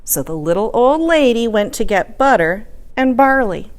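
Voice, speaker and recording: Female storyteller for a children's picture book: expressive, deliberate, widely varied pitch. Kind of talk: children's story read-aloud.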